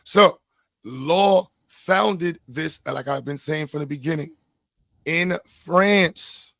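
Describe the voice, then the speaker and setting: Insistent, slightly hoarse man debating, higher-pitched. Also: slow pace, a bit bright, no echo. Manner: insistent, repeats himself. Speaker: debate host. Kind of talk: live debate, reading sources aloud.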